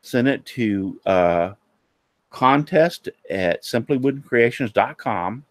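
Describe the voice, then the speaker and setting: A man hosting a casual live-streamed woodworking talk show, sounding nasal and a little hoarse, rambling with many fillers and restarts. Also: medium pitch, lively intonation, slow pace, no echo.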